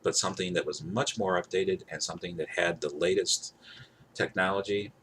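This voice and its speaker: Low-voiced man rambling through a gadget demo. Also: fairly even intonation, moderate pace, a bit bright. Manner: repeats himself, rambling, emphatic. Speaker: gadget reviewer. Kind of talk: casual product demonstration to camera. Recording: clear background noise.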